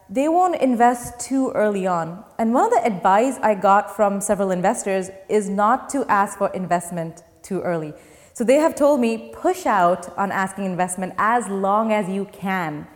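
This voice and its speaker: A woman's voice: mid-range voice, animated, normal speed. A young woman from Dubai, a startup founder, answering interview questions in an earnest, step-by-step way. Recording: long reverb.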